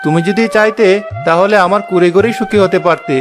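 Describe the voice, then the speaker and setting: Cartoon farmer husband, played by a man: high male voice, mild, patient, plaintive, faint music. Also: a little fast, lightly acted. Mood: earnest. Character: mild, long-suffering village farmer husband. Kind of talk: Bengali cartoon dialogue.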